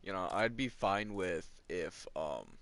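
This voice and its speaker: Young guy, twenty, slightly low voice; loose rambling gamer chat, gripes a lot. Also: animated, takes it slowly, fairly bright tone, slight background noise. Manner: ranting, complaining, chuckles between remarks. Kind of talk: casual rambling chat over gameplay.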